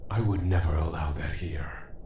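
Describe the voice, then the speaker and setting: A man playing a brooding dark god and father, speaking gravely and deliberately in a dramatic audio drama. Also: extremely low pitch, a little hiss or hum. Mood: stern, paternal. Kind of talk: dramatic first-person audio drama narration. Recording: loud music under the voice.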